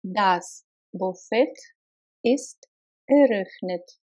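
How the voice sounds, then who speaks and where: A woman teaching phrases, saying each one slowly and deliberately and repeating it for learners. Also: medium pitch, animated, a little mellow. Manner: slow, deliberate, clearly spaced repetitions. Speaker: language teacher. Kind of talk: slow repeated phrase drills. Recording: slightly dull lo-fi sound.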